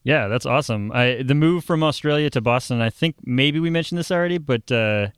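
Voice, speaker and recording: A man's voice: medium pitch, brisk, clean tone, no room sound, clean studio sound. A man co-hosting a podcast, asking questions in a friendly, laughing way with hesitant uhs. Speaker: podcast co-host. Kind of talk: casual interview chat. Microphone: remote recording.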